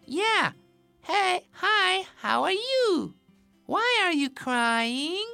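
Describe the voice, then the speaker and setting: Tiny, squeaky, friendly ant. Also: female voice, very animated, drawn-out words, vocal fry, sharp tone, dry recording, soft background music, heavily acted. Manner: squeaky, sweet, simple. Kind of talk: children's storytelling.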